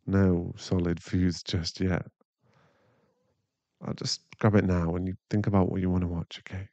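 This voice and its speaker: A man playing a shaken, protective werewolf boyfriend in an ASMR roleplay, halting and rambling as he soothes his partner. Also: low-pitched, level tone, a little mellow, dry recording, lightly acted. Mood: tender, calming down.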